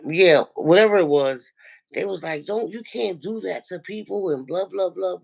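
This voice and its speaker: A man with a deep, nasal voice and a gravelly edge, speaking a little higher than most men. He tells an online story with energy and acts out each quoted line as he goes. His tone is casual and chatty. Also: normal speed. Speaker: regular viewer calling into a livestream. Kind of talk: casual chat, retelling an online argument.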